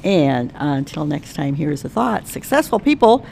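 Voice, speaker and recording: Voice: mid-range voice, very animated, a little slow, gravelly, a little mellow, a little hiss or hum. A radio show host leading a casual, folksy interview.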